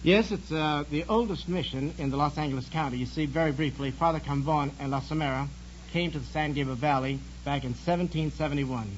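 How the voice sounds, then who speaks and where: A man's voice: on the high side, fairly quick. An American man, a priest being interviewed as a quiz contestant, answering in an earnest, polite and explanatory way. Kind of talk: answering interview questions on a broadcast. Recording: dull, noisy, humming 1950s broadcast recording.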